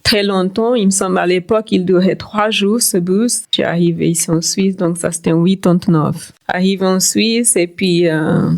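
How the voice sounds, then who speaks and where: A middle-aged woman from Cape Verde, a native Creole speaker, shares her personal story as a podcast guest. Her voice sits on the low side. She often repeats words and short phrases to stress a point. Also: a little mellow. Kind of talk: personal testimony in conversation.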